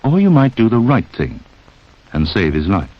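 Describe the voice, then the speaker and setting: A man's voice: lowish voice, huge pitch swings, normal speed. A man narrating a 1960s instructional film in a scripted, direct style that addresses the listener. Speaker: narrator of a public information film. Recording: dull band-limited 1960s film soundtrack.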